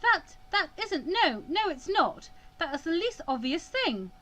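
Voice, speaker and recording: A woman's voice: very high-pitched, sing-song, measured pace, pushed and bright, voice pushed up, exaggerated voice. A woman voicing an earnest, emotional young female student in a visual novel, stammering and impassioned. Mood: indignant.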